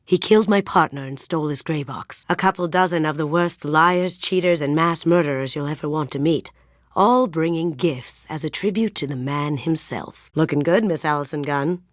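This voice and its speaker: A woman's voice: low voice, no room sound, clean studio sound, lightly acted. A young woman acting as a sly, playful master thief in a sci-fi video game, with a wry, coolly confident way of talking.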